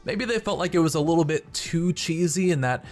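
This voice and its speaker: A man's voice: a little high, a little fast, a little mellow. A young man hosting a gaming channel, reading scripted video narration. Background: background music.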